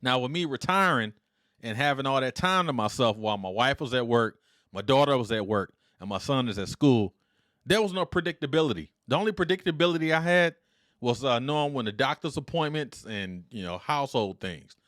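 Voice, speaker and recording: A middle-aged man hosting his own podcast solo, talking in a loud, confident, upbeat and deliberate way into a dynamic studio mic. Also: mid-range voice, lively intonation, no room sound. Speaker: podcast host.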